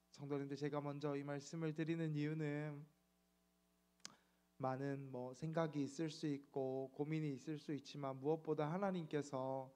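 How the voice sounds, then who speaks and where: A man's voice: a little high, mellow. A man in his thirties, a pastor, giving a reflective midweek sermon with frequent fillers. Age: 30s.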